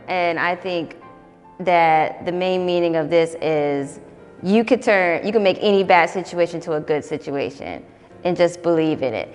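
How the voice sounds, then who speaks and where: A young adult woman reads picture books aloud for children with an expressive, lilting delivery. Her pitch moves widely through each line. The recording has a long roomy echo and soft background music. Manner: expressive, sing-song read-aloud. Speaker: volunteer storybook reader. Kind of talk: children's storytime read-aloud.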